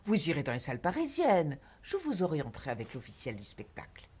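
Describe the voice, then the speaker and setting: A lofty condescending film teacher, low husky voice that swings wide, film drama. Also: female voice, huge pitch swings, brisk, a little mellow, heavily acted. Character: haughty, self-important female film teacher. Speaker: private film and art teacher.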